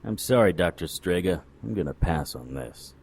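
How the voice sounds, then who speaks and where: Low-voiced, sardonic hard-boiled detective. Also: male voice, animated, normal speed, darkish tone, no room sound. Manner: dry, wry, world-weary. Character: jaded, sardonic male hard-boiled occult investigator. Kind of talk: noir audio drama acting with first-person narration.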